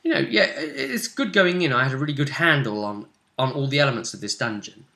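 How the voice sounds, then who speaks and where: A British man with a bright, forceful voice at a middle pitch. He hosts as game master, chatting casually through a tabletop session recap and breaking into animated asides. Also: quick pace, gravelly. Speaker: game master, host of a tabletop RPG vidcast.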